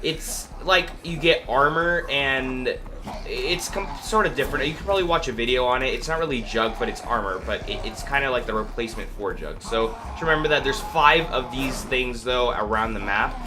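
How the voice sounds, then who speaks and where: A man's voice: medium pitch, moderate pace. A man with a cold, congested and sniffling, hosting a casual gameplay walkthrough in a rambling, hesitant way full of fillers. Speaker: gaming video host. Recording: clear background noise.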